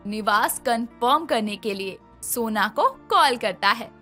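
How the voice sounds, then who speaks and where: A woman narrating a cartoon story in a scripted, expressive way. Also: fairly high pitch, a little slow, faint music behind.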